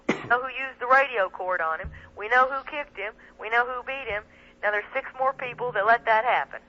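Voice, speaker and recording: A woman's voice: fairly high pitch, sharp tone, highs cut off. A woman speaking emphatically and indignantly as a guest in an impassioned talk radio interview. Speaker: guest, attorney and activist. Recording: band-limited 1990s shortwave radio, telephone sound.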